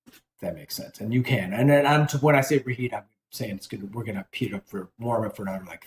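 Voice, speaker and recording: A man's voice: on the low side, reverberant, a little hiss or hum. A man hosting a casual cooking demonstration, chatty and rambling, often correcting himself as he seasons a dish. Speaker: cooking show host.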